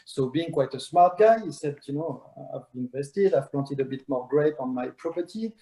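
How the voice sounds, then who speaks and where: A man's voice: a little high, expressive, moderate pace, dark tone, poor audio. A middle-aged man with a French accent presenting a virtual wine tasting, telling a story with frequent hesitations and restarts. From France. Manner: many fillers and restarts, storytelling. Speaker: wine brand ambassador.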